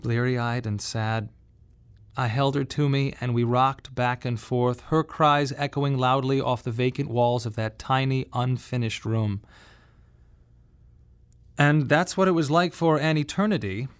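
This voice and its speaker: A man's voice: medium pitch, unhurried, clean tone. Reflective man narrating a radio monologue. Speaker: playwright performing his autobiographical monologue. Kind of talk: scripted dramatic narration, radio monodrama.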